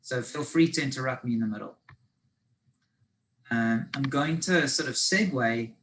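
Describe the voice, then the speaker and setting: South African male presenter, mid-pitched, easygoing explainer with ums and restarts, webinar over a video call. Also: moderate pace, fairly bright tone, a little hiss or hum. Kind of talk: live online business finance presentation.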